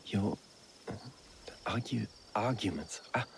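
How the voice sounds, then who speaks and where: An eager young man reading slowly and haltingly, his pitch leaping up and down from word to word. He speaks at an unhurried crawl, with faint outdoor ambience behind him. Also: expressive, creaky, slight background noise, heavily acted. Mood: hesitant. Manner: halting, hesitant reading aloud.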